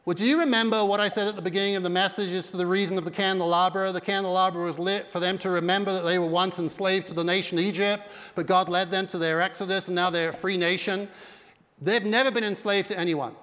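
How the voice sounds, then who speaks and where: High-voiced pastor preaching in a hall. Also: male voice, steady tone, fast, a bit bright. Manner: emphatic preaching with broad hand gestures. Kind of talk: sermon. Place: large dark church stage. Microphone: headset mic. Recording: long reverb of a big hall.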